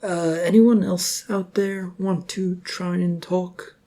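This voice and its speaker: A man's voice: sits high, fairly even intonation, slow pace, dark tone, pitched above the performer's own voice. A man voicing a nervous, self-doubting would-be hero in an audio story, hesitant and stammering. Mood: uneasy. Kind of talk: audio story character dialogue.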